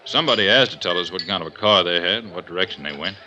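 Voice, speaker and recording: An actor playing a gruff, world-weary police inspector in a 1950s radio detective drama, blunt and folksy. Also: male voice, low-pitched, rapid-fire, lightly acted. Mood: reasoning, blunt. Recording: dull, noisy vintage 1950s radio recording.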